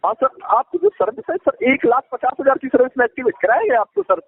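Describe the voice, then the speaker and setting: A man making a phone sales pitch, insistent, repetitive and evasive. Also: very animated, fast, slightly rough. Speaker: advisory company agent on a sales call. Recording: tinny, echoey phone call recording.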